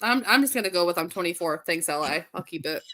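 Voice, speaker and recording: A woman co-hosting a sports podcast livestream, sarcastic and quick to push back in casual banter. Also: fairly low pitch, animated, a bit bright. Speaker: podcast co-host.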